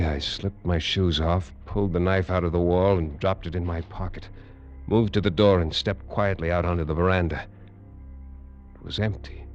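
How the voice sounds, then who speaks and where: An actor playing a tough, wry American lawman in a 1940s radio drama, narrating in terse, measured hardboiled style. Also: low voice, darkish tone, natural voice. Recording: dull, noisy vintage radio recording.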